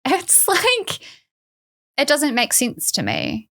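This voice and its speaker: A woman's voice: sits high, huge pitch swings, takes it slowly, gravelly, fairly bright tone. Earnest New woman, podcast guest. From New Zealand. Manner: earnest, laughs often, frequent "like". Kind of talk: conversational interview.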